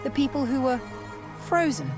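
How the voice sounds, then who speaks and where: A gracious noblewoman of a period audio drama as a woman narrator acts her out, pitched lightly upward. She chooses every word with care and keeps her guard up. She is gentle, yet leaves no room for argument. Music plays beneath her. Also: moderate pace. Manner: careful, steady, final.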